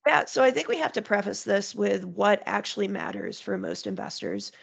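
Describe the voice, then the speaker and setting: A woman's voice: medium pitch, expressive, moderate pace. A woman, nasal from a head cold, explaining markets as a podcast guest in plain conversational terms. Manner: explains in clear plain terms, lists points.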